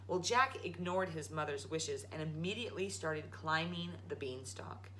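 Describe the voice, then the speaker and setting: A woman's voice: deep voice, lively intonation, normal speed, bright, forceful tone, a little hiss or hum. A woman reading a children's story aloud as a storytime host. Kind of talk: animated children's read-aloud.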